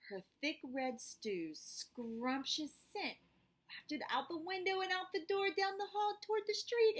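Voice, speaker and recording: A woman narrates a picture book for children in a fairly high, highly melodic voice. She reads slowly, leaving dramatic pauses and stretching out phrases. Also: a bit bright. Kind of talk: expressive children's read-aloud narration.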